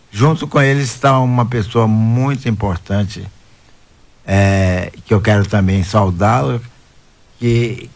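Male radio host, low voice, unhurried interview pacing. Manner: slow, warm greetings to listeners, hesitant fillers. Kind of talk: radio talk show interview.

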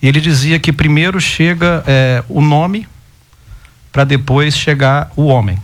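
Man, talk show guest, casual storytelling, lively rising emphases. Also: fairly high pitch, a little slow. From Goiás, Brazil. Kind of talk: casual chat.

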